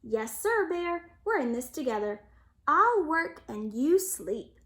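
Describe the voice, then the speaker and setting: A woman's voice: sits high, very animated, takes it slowly, a bit bright, exaggerated voice. A woman voicing a clever, eager male hare who schemes and persuades in a storybook. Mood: eager, persuasive. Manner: persuasive, upbeat, salesman-like.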